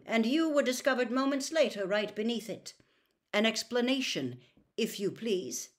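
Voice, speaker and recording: A woman's voice: on the high side, expressive, normal speed, pitched above the performer's own voice, lightly acted. A woman voicing a stern, blustering male wizard official in an audiobook, accusing and interrogating in a barking manner.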